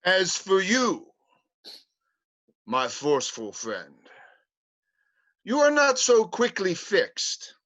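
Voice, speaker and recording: A man's voice: high-pitched, wildly swooping pitch, very slow, long pauses, a bit bright, heavily acted. A man voicing a pompous male hospital psychiatrist in a livestream script reading, hesitating with fillers. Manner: hesitant, stumbling over fillers. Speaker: play reader. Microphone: home mic on a livestream.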